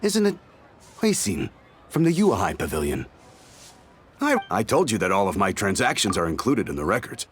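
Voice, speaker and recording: An actor playing a flustered, defensive merchant in a video game, stammering and indignant. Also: male voice, lowish voice, sing-song, normal speed, pauses often, a little hiss or hum. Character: flustered, defensive male merchant insisting he is honest. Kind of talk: animated video game voice acting.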